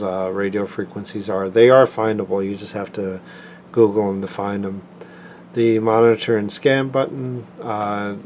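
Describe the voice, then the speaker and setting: Low-voiced man giving an informal product review. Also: a little mellow, a little hiss or hum. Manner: halting, unscripted, plain-spoken explanation.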